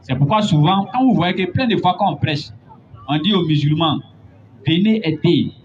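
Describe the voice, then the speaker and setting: A man preaching a sermon, building to emphatic, drawn-out points. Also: fairly high pitch, expressive. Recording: dull lo-fi recording with faint noise.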